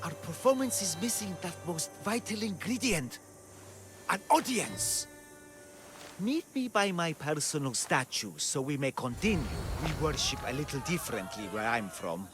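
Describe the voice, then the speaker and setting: A man's voice: fairly high pitch, very animated, normal speed. An actor playing a theatrical, grandiose man who claims to be a god. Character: flamboyant self-proclaimed man-god showman. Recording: game cutscene with music.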